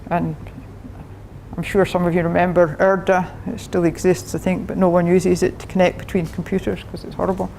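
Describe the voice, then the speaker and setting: A woman's voice: lowish voice, little pitch movement, a little fast, creaky, a little mellow, slight background noise, low-quality recording. An older Scottish woman, a professor, giving a chatty technical talk to a club, laughing often and restarting her sentences. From Scotland. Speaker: guest speaker, professor.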